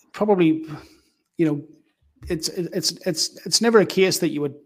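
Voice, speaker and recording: A man hosting a conversational interview, speaking earnestly and feeling his way through his phrasing. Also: a little high. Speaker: podcast host, interviewer.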